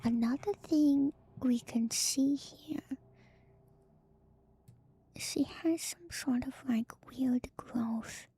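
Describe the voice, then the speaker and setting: Woman whispering slowly in gravelly ASMR. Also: high voice. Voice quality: soft, breathy whisper. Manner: hesitant, musing, unscripted. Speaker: livestreamer host. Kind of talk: whispered ASMR video game lore commentary.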